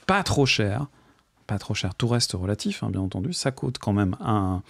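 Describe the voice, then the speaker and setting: A man's voice: on the low side, sing-song, moderate pace, vocal fry, dry recording. A man giving a casual, enthusiastic product review to camera in an informal, colloquial way. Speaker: video creator reviewing gear.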